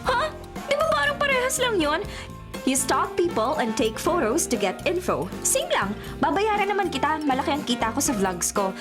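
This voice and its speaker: High, animated, lovestruck young woman. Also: normal speed, loud music behind the voice. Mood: breezy, bossy. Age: young adult. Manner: gushing, Taglish code-switching. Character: giddy, lovestruck 24-year-old female college student. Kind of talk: Filipino radio romantic comedy acting.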